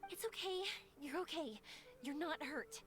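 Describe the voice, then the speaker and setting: A kind young woman from a video game, speaking in a high voice. She is gentle, polite and reassuring, and music plays clearly underneath. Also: animated, a little slow. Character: caring, polite young woman searching for a child.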